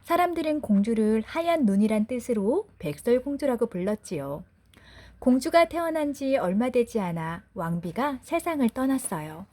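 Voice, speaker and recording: Animated woman storyteller, fairly high voice. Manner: gentle, deliberate storytelling for children. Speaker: storyteller mother. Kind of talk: expressive bedtime fairy-tale narration.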